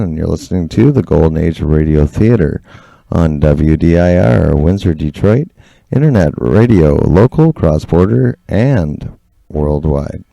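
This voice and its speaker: A man hosting an old-time radio show in a relaxed presenting style. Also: low voice, vocal fry, dry recording.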